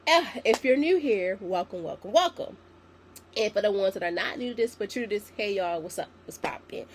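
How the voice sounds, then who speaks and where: A young woman in her twenties hosting a solo podcast, slightly raspy from a sinus infection, chatty and encouraging as she talks to her listeners. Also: mid-range voice, animated, fairly quick, a little hiss or hum. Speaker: podcast host. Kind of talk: casual solo podcast talk.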